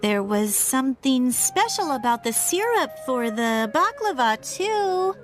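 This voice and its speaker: A woman's voice: high voice, sing-song, measured pace, soft background music. A woman voicing a friendly, teasing young female friend in an amateur game voice-over, casual and coaxing.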